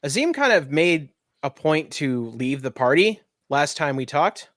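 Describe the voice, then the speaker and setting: A man with a slightly high voice runs a tabletop roleplaying game as game master. He narrates the scene in a casual, conversational way, and the recording is dry and close. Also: very animated, unhurried, a bit bright, no room sound.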